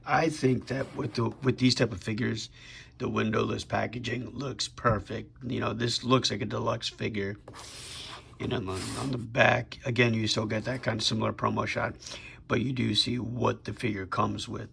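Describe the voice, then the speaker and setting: A young man with a sick, raspy voice casually reviewing a toy figure on his channel, talking in a laid-back way with lots of fillers and restarts. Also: on the low side, little pitch movement, normal speed, a bit bright, a little hiss or hum.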